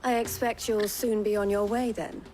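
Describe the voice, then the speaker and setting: An actress playing an assured, shrewd woman who leads a trading caravan in a fantasy game, speaking in formal, gently wry, measured phrases. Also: mid-range voice, level tone, slight background noise, natural voice. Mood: assured, persuasive. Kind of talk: scripted fantasy game voice acting. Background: background music.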